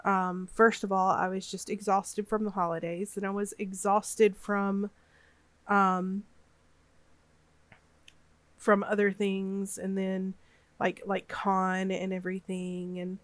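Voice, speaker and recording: Vlogger woman, 30s, sniffly from a cold, slow and meandering, dry close sound. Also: medium pitch, level tone, no echo. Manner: rambling, hesitant, lots of fillers. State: has a cold, a bit sniffly. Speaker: gaming YouTuber. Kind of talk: casual voiceover over a speedbuild.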